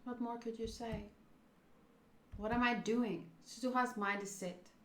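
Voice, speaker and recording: A woman's voice: fairly high pitch, fairly even intonation, measured pace, fairly bright tone, echoey room. A woman streaming a visual novel, reading the narration aloud and reacting casually and expressively.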